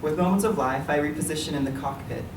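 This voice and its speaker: Man, writer reading aloud, measured, echoey room, distant mic. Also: a little high, background noise. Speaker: writer reading his work. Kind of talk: literary reading. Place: library meeting room.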